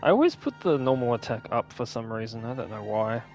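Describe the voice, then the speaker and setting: A man's voice: medium pitch, animated, brisk, darkish tone, soft background music. A man giving casual, unscripted gameplay commentary in an offhand, rambling way. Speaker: gaming video host.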